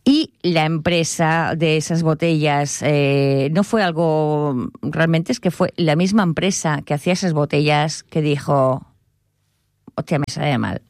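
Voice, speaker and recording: A woman's voice: deep voice, measured pace, darkish tone, dry recording, clean studio sound. A woman co-hosting a comedic chat, playful and mock-interviewing with frequent laughter. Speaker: podcast co-host.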